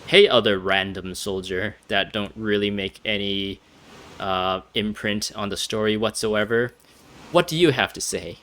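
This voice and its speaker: A man's voice: on the low side, a little slow, a bit bright. Wry laughing male game streamer. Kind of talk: casual gameplay commentary.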